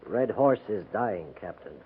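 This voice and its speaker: Low, solemn tribesman. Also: animated, a little slow, slightly rough, heavily acted. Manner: formal, stately phrasing. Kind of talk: 1950s radio drama acting. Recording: tinny, slightly noisy 1950s radio recording.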